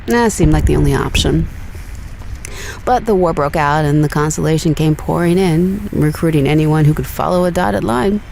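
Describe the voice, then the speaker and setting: A guarded young woman space explorer speaks in a lowish voice with heavy fry. Her delivery is dry, understated and teasing, like intimate sci-fi radio drama over ship comms, with some background noise. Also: moderate pace, darkish tone, rough recording. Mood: wistful, dry. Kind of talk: intimate, naturalistic audio drama dialogue.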